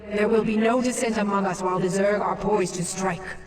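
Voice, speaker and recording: An actress playing a stern, commanding alien matriarch in a video game, imperious and ceremonial, with heavy reverb. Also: female voice, medium pitch, fairly even intonation, a little fast, darkish tone. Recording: cavernous echo, distant, music behind.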